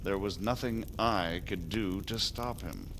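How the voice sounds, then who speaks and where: A man playing a measured, diplomatic medieval margrave in a video game, speaking formally and deliberately. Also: deep voice, noisy background. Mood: grave, explanatory. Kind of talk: dramatic video game acting.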